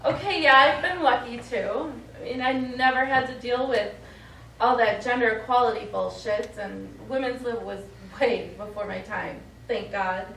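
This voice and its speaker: A woman plays a tough, sardonic astronaut on stage, one of the guys, with a high-pitched voice. She is recorded from a distance in an echoey room. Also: normal speed, creaky, a bit bright, slight background noise. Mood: earnest, proud. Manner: brash, sardonic. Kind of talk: stage play dialogue. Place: small studio theatre, recorded from afar.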